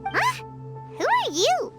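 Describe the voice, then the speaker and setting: A woman voicing a tiny excitable female fairy companion in a video game, with a very high, squeaky voice and quick protests. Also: loud music behind the voice, exaggerated voice. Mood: startled.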